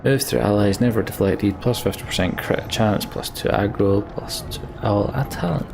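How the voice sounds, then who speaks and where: A man's voice: fairly low pitch, normal speed, vocal fry, noisy background. A man commentating on a video game, reading its text aloud and adding dry, resigned asides, with music behind him. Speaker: let's play host.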